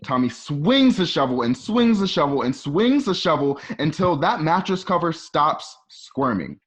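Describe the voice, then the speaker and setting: A man's voice: a little high, big pitch swings, a little slow, a bit bright. A man reading stage directions for a radio drama in a conversational way, with casual asides. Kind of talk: informal scripted narration for radio drama.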